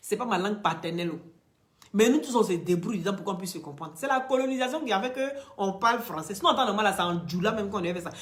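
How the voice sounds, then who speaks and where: A woman from Ivory Coast with an Ivorian French accent, giving impassioned commentary straight to the camera, preachy and emphatic, swinging from loud peaks to quiet, near-whispered asides. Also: mid-range voice, expressive, fast talker. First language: Dioula or another Ivorian language. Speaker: social media commentator.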